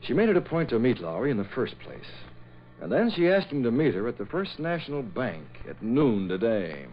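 An actor playing a thoughtful, suspicious publisher and secret crimefighter in a 1940s radio drama, reasoning in a measured way. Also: male voice, mid-range voice, sing-song, close to an everyday voice. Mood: suspicious, musing. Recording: muffled old radio broadcast.

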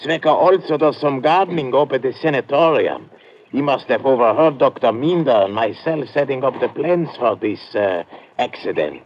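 An actor plays an earnest farmer with a very lively pitch. He explains himself formally and helpfully, in radio-drama style. The vintage recording is dull with faint noise. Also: normal speed, natural voice. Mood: earnest, explaining. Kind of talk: 1950s radio drama acting.

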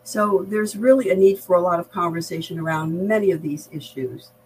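An older woman with an American accent and a slightly low-pitched voice talks in a reflective interview. She explains things in a measured, articulate way. Clear background noise and hum sit behind her. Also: darkish tone, poor audio. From Barbados. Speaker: veteran diversity practitioner, podcast guest.

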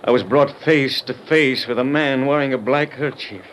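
An actor playing an earnest army major undercover as a gunfighter, telling his story gravely in an old-time radio drama. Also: medium pitch, normal speed, fairly bright tone, close to an everyday voice. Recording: noisy vintage radio recording.

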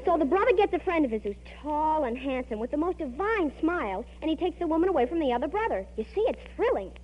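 An actress playing a movie-mad teenage best friend in an old radio comedy, gushing and knowing, with emphatic delivery. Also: female voice, high voice, sing-song, fast talker. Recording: muffled old radio recording, hum.

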